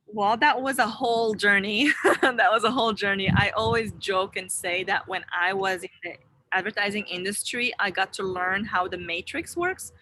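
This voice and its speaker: A woman's voice: medium pitch, moderate pace, bright, forceful tone, a little hiss or hum, low-quality recording. A young adult woman from Morocco, a wellness founder and interview guest, telling her story in an earnest, rambling way with many restarts. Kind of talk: casual interview talk. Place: online video interview.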